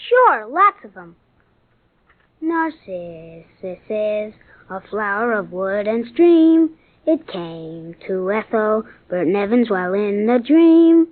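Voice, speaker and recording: A performer playing a young schoolboy in an old-time radio comedy-drama, literal and stubborn, reciting in a matter-of-fact way. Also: female voice, medium pitch, very animated, slow pace, dry recording. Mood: earnest reciting. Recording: muffled, dry vintage radio recording.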